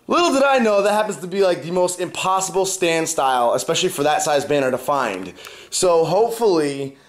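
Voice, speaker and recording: A man's voice: on the high side, lively intonation, fairly quick. A young man vlogging casually to his viewers, stuffed up from a cold and partly losing his voice, explaining things step by step. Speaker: fitness vlogger. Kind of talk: casual vlog talk to camera.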